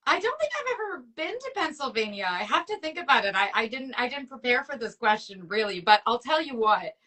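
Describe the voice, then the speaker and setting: Bright, chatty woman from Canada, native French speaker. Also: on the high side, very animated, fairly quick. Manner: chatty, peppered with "like", laughs often. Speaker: actress guest. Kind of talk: casual chat.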